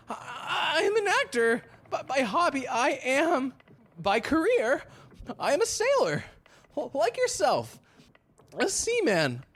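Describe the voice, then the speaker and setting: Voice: high voice, a bit bright, exaggerated voice. An actor playing an anxious, tired new recruit in an audio drama, hesitant and pleading in casual modern speech. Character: anxious, exhausted young new actor posing as sailor.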